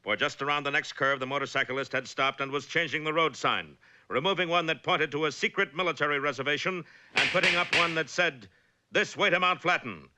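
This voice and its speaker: A man's voice: a little high, fairly quick, pushed and bright. A man playing a breathless, mock-serious narrator of a classic cartoon serial, urgent and florid. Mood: urgent, dramatic. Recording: old cartoon soundtrack with faint hiss.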